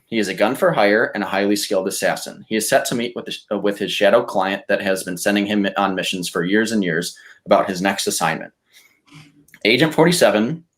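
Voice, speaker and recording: Low-voiced man pitching on a podcast. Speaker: podcast contestant pitching films. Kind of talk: casual chat with read-out pitches.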